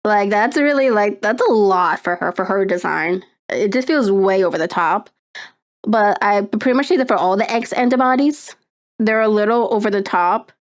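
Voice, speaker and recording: A young woman streamer chatting casually on a live stream, rambling and excitable, with lots of fillers and laughter. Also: mid-range voice, moderate pace.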